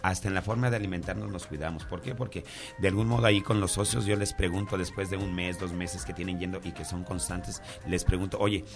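Mexican man, gym trainer guest, very low voice, measured advice. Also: steady tone, over music. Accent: Mexican Spanish. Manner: advisory, measured, uses fillers like "este". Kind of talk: casual talk show chat.

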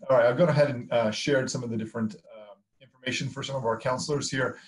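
A man introducing himself informally as an admissions counselor on a video call, in a halting, filler-heavy way. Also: medium pitch, steady tone.

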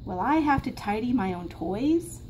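A woman's voice: on the high side, expressive, measured pace, darkish tone. A woman voicing a small young female bunny in a storybook, curious and anxious, asking things in an eager, plaintive way. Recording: noisy classroom recording.

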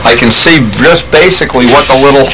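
An older man casually explaining his model airplane work in a home workshop, relaxed and rambling. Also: medium pitch, brisk, poor audio.

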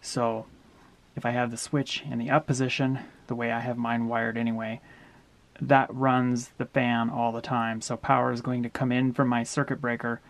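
A middle-aged man walking through a camper wiring upgrade step by step, in a steady, plain-spoken way, recorded in a small indoor space. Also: medium pitch, moderate pace. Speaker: DIY camper owner explaining a wiring upgrade. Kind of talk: hands-on how-to walkthrough.

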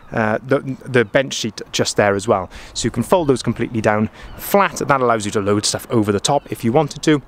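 A man's voice: medium pitch, slight background noise. Upbeat salesman walking around a van. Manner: upbeat, enthusiastic, frequent ums and uhs. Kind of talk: walkaround product review. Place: outdoor car lot beside a van. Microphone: camera-mounted mic, filming while walking.

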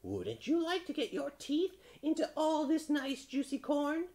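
Squawky high-pitched greedy crow. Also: male voice, wildly swooping pitch, unhurried, a little mellow, full character voice. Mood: worried, greedy. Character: enormous greedy male crow, fretful and mannered.

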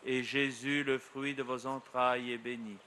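A middle-aged man, a priest, leading a solemn recited prayer in measured, deliberate phrases. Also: medium pitch, level tone, very noisy. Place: outdoors at a grotto shrine. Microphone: microphone on a lectern.

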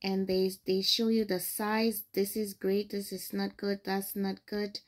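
A woman's voice: mid-range voice, flat delivery, unhurried. A young adult woman from Ghana, hosting a screen-recorded phone tutorial and explaining each step patiently. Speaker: tutorial host.

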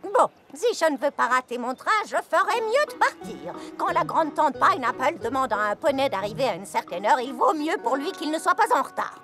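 A woman's voice: sits high, very animated, normal speed, hardly any pauses, bright, forceful tone, soft background music, exaggerated voice. A woman voicing an elderly, fussy grandmother pony in a cartoon, folksy and admonishing. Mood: fussy, caring. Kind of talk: animated series voice acting.

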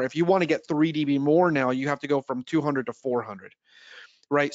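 A man's voice: medium pitch, dry recording. Man explaining in dull, dry audio. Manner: didactic, checks understanding with "right?". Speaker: audio trainer and presenter. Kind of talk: explanatory product webinar talk.

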